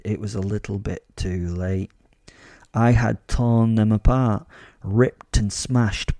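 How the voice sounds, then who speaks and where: A man's voice: lowish voice, dark tone, no room sound, studio quality, natural voice. A British man playing a gleefully sadistic, sardonic werewolf serial killer, narrating a horror audio drama in the first person with sweary, darkly comic relish.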